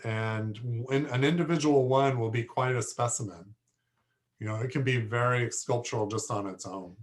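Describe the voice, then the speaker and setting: Hoarse guy teaching plants, talks slow, keeps clearing his throat, lots of um and uh. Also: mid-range voice. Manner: frequent throat clearing, halting with fillers. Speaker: horticulture instructor. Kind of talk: lecture to a class.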